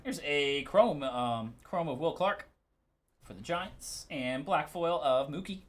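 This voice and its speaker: A man's voice: a little high, expressive, takes it slowly. Man calling out cards casually. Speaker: host of live sports card breaks. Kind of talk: casual live commentary on card openings.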